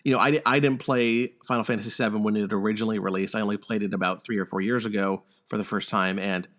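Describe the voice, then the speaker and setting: A man's voice: fairly low pitch, fairly even intonation, fast, fairly bright tone. A man hosting a casual gaming podcast, presenting news and chatting with co-hosts. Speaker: podcast host.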